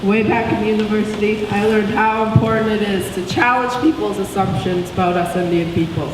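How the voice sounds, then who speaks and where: A woman's voice: medium pitch, steady tone, brisk, lightly acted. Woman reading as a proud old grandmother, echoey hall. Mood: earnest. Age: older. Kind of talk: reading a book aloud. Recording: echoey hall, distant mic, some noise.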